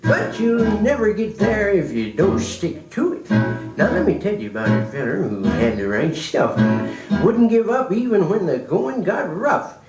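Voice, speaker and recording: An actor playing a wise old owl on a children's storybook record, folksy and scolding, in rhythmic half-sung patter. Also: male voice, high voice, very animated, a little slow, full character voice. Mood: spirited, instructive. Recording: vintage record, loud music, roomy.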